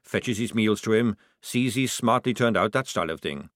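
A man voicing a gruff, sardonic old army sergeant in an audiobook, with a barking military manner. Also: on the low side, normal speed, a bit bright, dry recording, clean studio sound, close to an everyday voice. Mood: mirthless. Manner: barking, sarcastic military speech.

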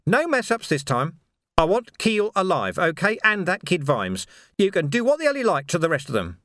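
Sneering gang captain, acted by a man, pitched up. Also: wildly swooping pitch, normal speed, a bit bright, no room sound, exaggerated voice. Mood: commanding. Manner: sneering, bullying, falsely matey. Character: menacing, mocking villainous male captain of a watch gang.

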